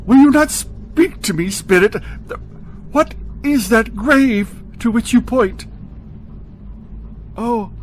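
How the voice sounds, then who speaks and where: A man's voice: sits high, a little hiss or hum. A man playing a frightened, repentant old miser in a radio drama, stammering and pleading as he draws out his words. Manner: stammering, pleading, drawn-out delivery. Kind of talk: theatrical radio drama acting.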